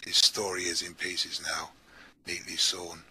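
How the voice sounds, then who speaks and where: A man's voice: low voice, flat delivery, measured pace, bright, forceful tone, husky. A man reading poems aloud to listeners as a recital. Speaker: poetry reader at a live event. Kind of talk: poetry recital.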